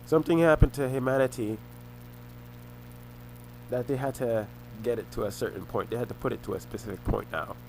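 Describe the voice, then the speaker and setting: A young man talking to the camera in a rambling monologue, often halting, repeating himself and restarting phrases. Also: mid-range voice, slight background noise, rough recording. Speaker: vlogger.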